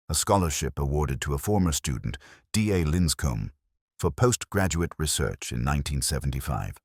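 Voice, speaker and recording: A man with an extremely low, slightly husky voice narrates a detective story. His delivery is reflective and measured, yet his pitch moves in a lively way through each literary sentence. The recording is dry and close. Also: dry recording. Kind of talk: first-person scripted detective story narration.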